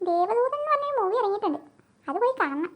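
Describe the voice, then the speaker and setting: A performer voicing an excitable, whiny young girl in a cartoon comedy, given to pleading and exclaiming. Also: very high voice, fast talker. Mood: complaining.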